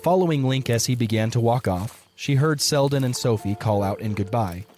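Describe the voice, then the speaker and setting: A man's voice: medium pitch, moderate pace, warm tone, faint music behind. A man reading scripted third-person story narration as the narrator of an audio drama.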